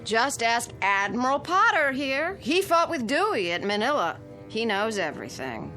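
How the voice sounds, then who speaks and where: An actress in a radio drama playing a teasing, sarcastic American wife with an American accent. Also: female voice, a little high, very animated, normal speed, pushed and bright, faint music behind.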